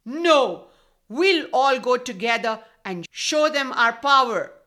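A woman's voice: high voice, expressive, slow pace, pushed and bright, exaggerated voice. A woman voicing a pack of proud, defiant foxes in a children's story.

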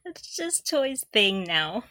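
A woman's voice: mid-range voice, very animated, slow pace, bright, forceful tone, no room sound. A woman co-hosting a podcast, chatting casually and enthusiastically as a fan, with a giggly manner. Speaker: podcast co-host.

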